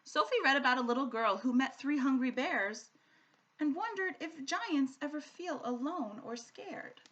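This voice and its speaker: A woman reading a rhyming children's story aloud like a teacher, with an expressive, sing-song rhythm. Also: sits high, measured pace, highs cut off. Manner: animated, sing-song rhythm following the rhyme.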